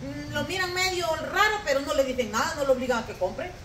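A woman's voice: sits high, lively intonation, fast, pushed and bright. A middle-aged woman with a Salvadoran Spanish accent, chatting and teasing as she cooks in an open-air kitchen, picked up by a camera a few meters off. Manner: chatty, teasing, cooking while she talks. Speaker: vlogger. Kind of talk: casual chat. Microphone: camera mic from a few meters away. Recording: outdoor camera audio with clear background noise.

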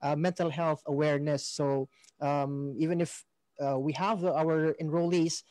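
A man with a Filipino accent hosting a live program informally, halting as he searches for words. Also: fairly high pitch, fairly even intonation, darkish tone, no room sound. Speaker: host.

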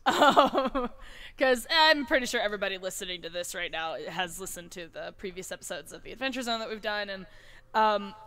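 A woman's voice: medium pitch, animated, fairly quick, a bit bright. A woman chatting casually in a cast Q&A as a voice actor, with a jokey, giggly, self-deprecating manner. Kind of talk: casual group chat.

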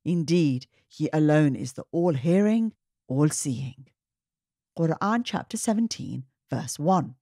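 A woman reading a children's story aloud in an expressive, emphatic narrating style. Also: low voice, slow pace, no echo, studio quality. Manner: animated, emphatic, clearly paced delivery. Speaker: children's story reader and host.

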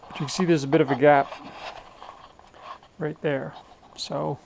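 A man's voice: fairly high pitch, gravelly. Man thinking aloud in a noisy workshop. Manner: thinking aloud, relaxed and unhurried. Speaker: woodworking hobbyist. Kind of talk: casual workshop narration. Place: home workshop.

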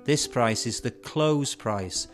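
A middle-aged man presenting scripted instructional narration in a measured, explanatory way, posing rhetorical questions. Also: medium pitch. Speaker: presenter of an educational film. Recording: music bed behind studio voice. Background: instrumental music.

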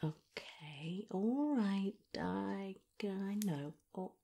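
A woman, speaking in a soft, often whispered voice, soothes and reassures as she tends a graze in an ASMR first-aid roleplay. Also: mid-range voice, expressive, drawn-out words, vocal fry. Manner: soothing, reassuring, gently shushing. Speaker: carer tending a child's graze.

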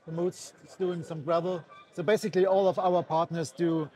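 A man from a bike shop, calmly explaining custom builds at a busy indoor trade fair, with a few fillers. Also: fairly high pitch, moderate pace. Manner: calm, informative, a few fillers. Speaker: bike shop staff, guest. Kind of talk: explaining products at an expo.

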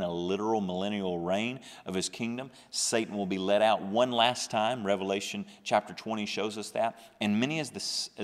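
Earnest man in his thirties, low voice, Bible teacher at a desk mic, some lingering reverb. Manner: earnest, reads scripture with swelling emphasis. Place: small studio with a dark backdrop. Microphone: large desk microphone close up.

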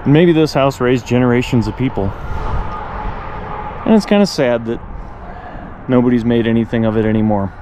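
A man's voice: moderate pace, pauses often, mellow. Guy musing casually while exploring an old place, noisy outdoor recording, kinda reflective. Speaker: vlogger and photographer exploring abandoned places. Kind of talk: casual spoken exploration commentary. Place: abandoned farmstead, mostly outdoors beside a highway. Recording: noisy outdoor field recording, highway nearby.

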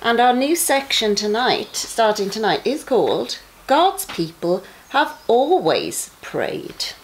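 A middle-aged woman reads a bedtime story for young children. Her pitch rises and falls in a very animated way, while her pace stays slow and measured. She phrases things emphatically, as an expressive storyteller does. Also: slight background noise, poor audio. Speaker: bedtime story reader for a junior church. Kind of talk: expressive read-aloud of children's Bible stories.

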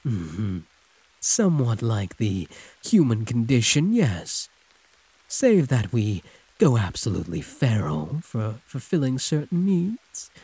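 A hulking male werewolf, played by a man, purrs possessively in an intimate audio roleplay. His voice is extremely husky, with swooping pitch and an unhurried drawl. He teases like a predator toying with prey. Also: wildly swooping pitch, mellow, dry recording, exaggerated voice, rough recording. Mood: urgent, protective. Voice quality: husky, breathy. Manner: drawling, teasing pet names, predatory purr. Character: possessive, growling male werewolf prisoner, huge and teasing.

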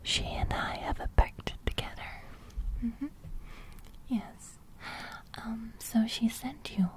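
Sleep fairy, played by a young woman: gentle, caring, whispered and slow, soothing ASMR roleplay. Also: a little high, fairly even intonation. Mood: fond. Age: young adult. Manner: soft, repetitive, soothing. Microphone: close studio microphone.

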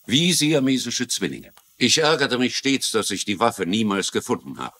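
An actor playing an older retired police lieutenant in a German-dubbed TV crime drama, confiding and wry, with a troubled conscience. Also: male voice, medium pitch, big pitch swings, normal speed, a bit bright, slight background noise. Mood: frank, wry.

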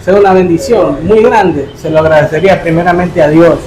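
A young man playing a poor young worker who is soft-spoken and tearful, in a staged charity video. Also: on the high side, crowd noise, natural voice. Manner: quiet, halting, emotional. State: crying. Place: indoor room. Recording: echoey room with music behind.

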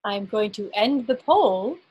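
A woman's voice: medium pitch, expressive, a little slow, darkish tone, breathy edge. A woman casually moderating a group poll on a video call as the meeting's host. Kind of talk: casual live event moderation.

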